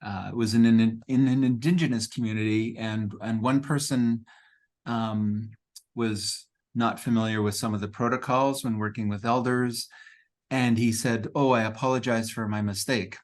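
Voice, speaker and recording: A man moderating an online Q&A over a video call, speaking slowly and haltingly with many pauses. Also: fairly low pitch, fairly even intonation. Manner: hesitant, many ums and pauses, slow. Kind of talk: hosting a Q&A.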